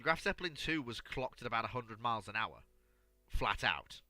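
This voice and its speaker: A man in an animated group discussion, stammering and repeating his phrases emphatically as he makes his point. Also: mid-range voice, moderate pace, no room sound.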